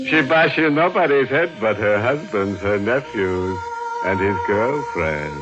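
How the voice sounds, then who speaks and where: A ghoulish host who relishes his dark jokes. His delivery is very slow and widely swooping, mocking and drawn out. It sounds like muffled 1940s radio. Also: on the low side, animated. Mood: macabre glee. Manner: tongue-in-cheek, drawn-out, chuckling. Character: ghoulish, gleefully macabre horror-show host with mocking humour. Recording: muffled vintage radio recording with music.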